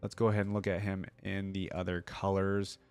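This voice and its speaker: A man's voice: low-pitched, little pitch movement, a little fast, darkish tone, clear, resonant tone, no room sound, soft background music. A man hosting a reaction video with casual, informal commentary, thinking aloud as he goes.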